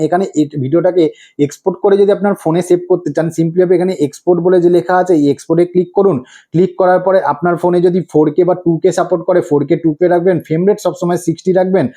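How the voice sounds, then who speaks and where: A man's voice: high voice, warm tone. A man hosting a step-by-step tutorial, instructive and repetitive, often prompting with rhetorical questions. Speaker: tutorial host.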